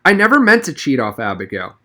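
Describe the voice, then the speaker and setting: A man's voice: medium pitch, huge pitch swings, quick pace, pushed and bright, pitched above the performer's own voice. Man voicing a defensive, sheepish schoolboy. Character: defensive, uneasy schoolboy hero, sheepish and quick-answering.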